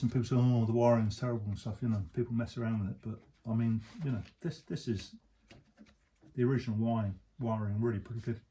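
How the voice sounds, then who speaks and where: A man, casually explaining a motorcycle repair as he works, in a relaxed, rambling way with plenty of fillers. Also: medium pitch, slightly rough. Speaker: motorcycle restorer, channel host. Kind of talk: casual workshop talk-through. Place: workshop or shed.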